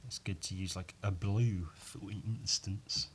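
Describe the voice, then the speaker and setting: A man with a croaky voice rambling casually on his own as a podcast host, informal and sweary, poking fun at himself and tacking questions onto his remarks. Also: sits low, fairly even intonation, measured pace, a little hiss or hum. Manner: sweary, informal, self-deprecating, with tag questions. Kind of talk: casual rambling solo chat.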